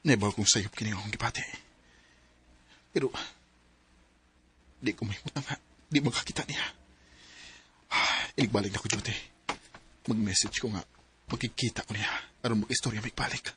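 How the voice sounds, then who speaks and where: A man's voice: on the low side, normal speed, long pauses, noisy background, natural voice. A man with a Filipino accent acting an evasive, wistful married man torn over a secret lover in a radio drama. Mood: brooding. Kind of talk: radio drama acting with first-person narration.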